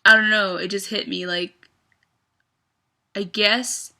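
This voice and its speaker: A young adult woman talks straight to the camera in a confessional vlog. Her voice is bright and clear. She rambles and hesitates, with plenty of fillers as she works through her thoughts. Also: mid-range voice, fairly even intonation, slow pace. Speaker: vlogger.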